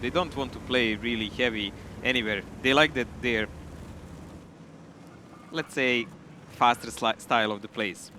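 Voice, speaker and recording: A man's voice: mid-range voice, pushed and bright, slight background noise. A man co-casting a live esports match, talking in a chatty way with loose phrasing and restarts. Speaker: esports co-caster.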